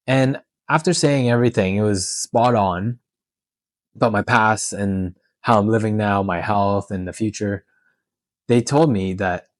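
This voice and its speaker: A man's voice: on the low side, no room sound. A man from Canada and Hong Kong talking candidly and informally in a question-and-answer vlog. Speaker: tattoo artist and vlogger.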